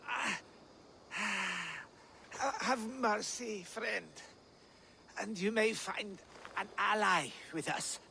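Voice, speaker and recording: An actor playing a wounded, captured raider in a video game, weary, mocking and defiant, with sighing, halting delivery. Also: male voice, high-pitched, gravelly, pushed and bright, slight background noise, exaggerated voice. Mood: pleading, weary. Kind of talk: dramatic video game acting.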